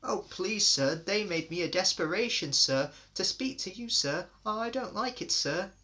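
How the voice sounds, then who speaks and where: A man voicing a timid little engine boy who squeaks and stammers politely. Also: animated, unhurried, sharp tone, exaggerated voice. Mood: nervous pleading. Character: small nervous green engine boy.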